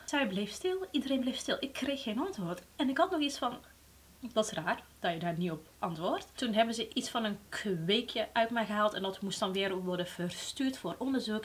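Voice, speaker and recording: Late-20s woman vlogger, candid confessional tone, clean indoor recording. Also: mid-range voice. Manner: candid, conversational, quickens when recounting thoughts, drops softly on grave facts. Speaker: beauty vlogger. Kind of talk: personal story told to camera. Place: indoor room before a plain backdrop.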